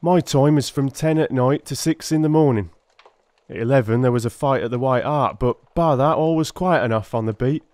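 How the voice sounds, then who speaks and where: A man's voice: medium pitch, moderate pace, a little mellow, dry recording, close to an everyday voice. A man voicing a working-class Victorian police constable in an audiobook drama, telling things in a rambling, colloquial way. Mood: earnest, rambling. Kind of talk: dramatised audiobook character acting.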